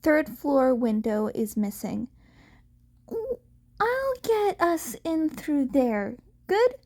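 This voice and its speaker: A woman voices a brash female hero mentor in an audiobook story. She is bossy and curt, giving orders and teasing in a slightly high, unhurried voice. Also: very animated, darkish tone, heavily acted. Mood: brisk.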